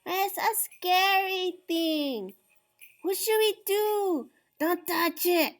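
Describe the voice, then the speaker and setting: A woman plays a jumpy little prairie dog from a storybook crowd. The voice is very high and squeaky, exclaiming breathlessly with excitable nerves, over music. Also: drawn-out words, exaggerated voice. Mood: anxious, curious. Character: excitable, nervous crowd of small prairie dogs.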